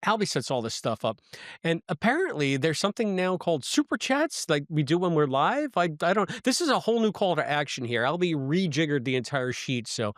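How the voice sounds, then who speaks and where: A man's voice: on the high side, very animated, a little fast, a bit bright, no echo. Middle-aged man, dry close-mic sound. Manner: jokey, self-deprecating. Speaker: podcast co-host. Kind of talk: casual podcast chat and episode review.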